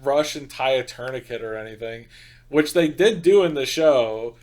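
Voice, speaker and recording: A man's voice: mid-range voice, normal speed, darkish tone. A young man co-hosting a podcast, chatting casually in a profane, joking way. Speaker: podcast co-host. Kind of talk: casual comedic chat.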